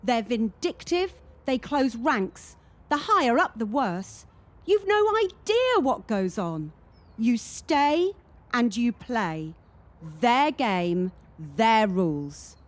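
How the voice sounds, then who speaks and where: An actress playing a wary, cynical young gymnast in a radio drama, with a deliberate, emphatic delivery. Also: female voice, on the high side, very animated. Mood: cynical warning. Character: wary, cynical female gymnast warning her teammate.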